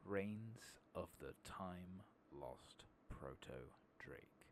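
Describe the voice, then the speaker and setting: A man doing soft-spoken, sometimes whispered ASMR game commentary, muttering casually to himself at a close microphone. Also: low voice, lively intonation, extremely slow, slight background noise. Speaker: host of a gaming ASMR playthrough. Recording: keyboard typing and mouse clicks behind.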